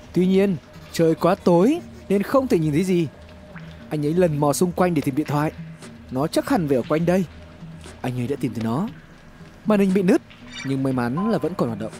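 A man's voice: high voice, dark tone. A man reads scripted horror story narration in a dramatic, suspenseful storytelling manner. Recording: music behind the voice. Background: background music.

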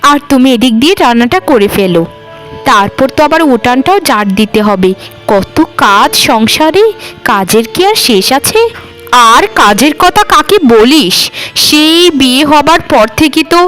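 Woman playing long-suffering village wife in a cartoon, high voice, plaintive and earnest, bit of music under it. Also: low-quality recording. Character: long-suffering village housewives, two close, dutiful sisters-in-law. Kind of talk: Bengali family cartoon dialogue.

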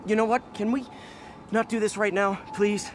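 An actor playing a young, quippy, well-meaning superhero in a video game, awkward and self-deprecating. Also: male voice, high voice, steady tone, normal speed, a little hiss or hum, lightly acted. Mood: flustered. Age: young adult. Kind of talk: naturalistic video game acting.

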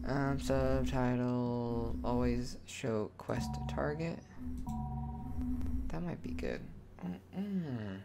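A man's voice: mid-range voice, very slow, creaky. Profane man commentating a game over music. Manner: casual, profane, muttering to himself. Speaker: gaming video host. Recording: music behind the voice. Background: loud game music.

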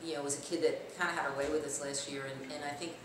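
Lady coach talking fast after a game, far from the mic, noisy room. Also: low voice, fairly even intonation. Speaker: basketball coach. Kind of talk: postgame interview answers. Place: indoor room with block and stone wall. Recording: very echoey, distant, noisy room.

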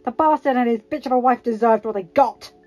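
A woman's voice: fairly high pitch, expressive, normal speed, soft background music. A woman voicing a menacing, defiant Russian male villain who spits out words. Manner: hissing, spitting out words.

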